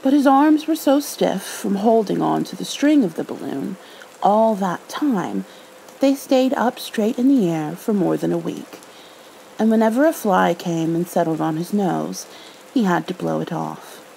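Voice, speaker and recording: A woman softly reading a storybook and talking tenderly in a soothing, motherly ASMR roleplay. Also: medium pitch, animated, measured pace, vocal fry, poor audio. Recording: faint background noise, rain ambience.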